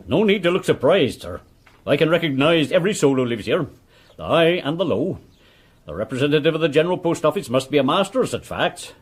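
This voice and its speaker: An actor playing a chatty, deferential village postmaster and old soldier in a period radio drama. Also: male voice, on the high side, very animated, fairly quick, husky. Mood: chatty, inquisitive. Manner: garrulous, deferential, inquisitive. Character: chatty, deferential village postmaster, a one-legged former army corporal.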